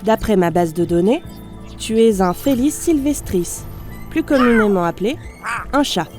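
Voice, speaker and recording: A woman playing a damaged robot unit in audio fiction, speaking formally and precisely, polite, analytical and gently naive. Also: medium pitch, lively intonation, normal speed, soft background music, close to an everyday voice. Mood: polite, didactic. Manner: formal, clipped system announcements and precise explanations. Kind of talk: scripted audio fiction monologue.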